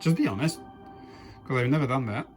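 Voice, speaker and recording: Give me a Polish-native man presenting a board game, halting and casual, with faint music underneath. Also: medium pitch, darkish tone. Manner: halting, frequent restarts and fillers. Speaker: board game channel host. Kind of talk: casual playthrough commentary.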